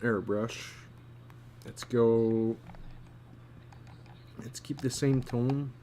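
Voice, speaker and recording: A man thinking aloud casually as he gives an art demonstration, murmuring to himself in an unhurried way as he works. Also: mid-range voice, fairly even intonation, warm tone, a little hiss or hum. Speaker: storyboard artist giving a drawing tutorial.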